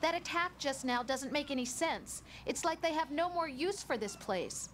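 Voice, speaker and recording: Brief: a gentle, high-voiced game support partner. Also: female voice, lively intonation, moderate pace, a little hiss or hum. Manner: gentle, reassuring. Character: caring young woman supporting over radio.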